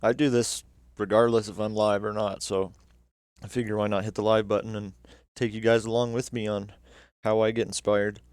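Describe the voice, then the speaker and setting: Casting: young male streamer, relaxed, cursing, low voice, dry mic. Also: moderate pace, no echo. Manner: laid-back, swears casually. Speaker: livestream host. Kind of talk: casual livestream chat reacting to videos.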